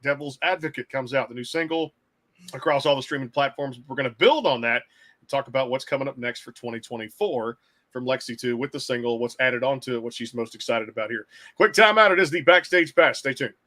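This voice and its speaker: A man's voice: mid-range voice, a little fast, sharp tone. A middle-aged man hosting an interview show, talking in a rambling way and repeating phrases. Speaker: podcast host.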